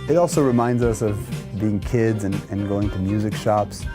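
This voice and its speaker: A man's voice: on the low side, normal speed. A man in his thirties, a musician, talking casually about his studio and gear. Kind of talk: casual chat and to-camera talk. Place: recording studio, indoors. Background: music playing.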